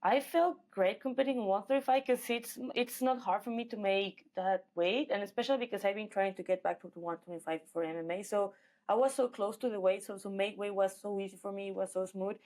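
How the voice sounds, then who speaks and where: A woman's voice: medium pitch, brisk, a little mellow. A woman from Mexico with a Mexican accent, talking casually as an athlete being interviewed. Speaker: guest, professional MMA fighter.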